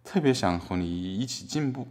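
Guy playing mock-sincere activist in courtroom radio play, lowish pitch, deadpan. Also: normal speed, lightly acted. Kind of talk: scripted audio drama.

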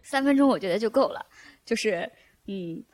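A woman's voice: medium pitch, expressive, normal speed, a little mellow. Casual female podcast co-host, chatty.

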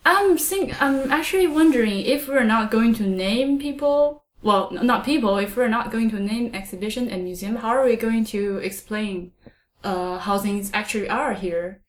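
A woman's voice: fairly high pitch, normal speed. A woman podcast host whose first language is Chinese, speaking English with a Chinese accent in a chatty, wry way and sometimes searching for words. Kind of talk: casual conversation. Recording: echoey room sound.

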